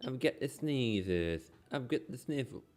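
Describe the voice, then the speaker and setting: A man's voice: mid-range voice, lively intonation, a little slow, a little mellow, faint music behind. A man with a cold streaming a game, coughing and sniffling, talking half to himself and reading the game text aloud. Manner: mumbly, half to himself, sing-song repeats.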